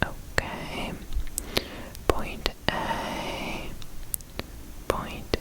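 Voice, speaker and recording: A woman whispering softly and breathily in an ASMR roleplay, giving gentle, reassuring step-by-step instructions as an examiner. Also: drawn-out words, low-quality recording. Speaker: ASMR performer playing an examiner.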